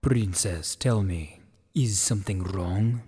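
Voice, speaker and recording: A man's voice: low voice, slow pace, warm tone, slightly rough, exaggerated voice. A man playing an aging, courtly pony king in a fan radio drama, speaking in a stately, theatrical and formal way. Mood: grave concern. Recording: long reverberant hall.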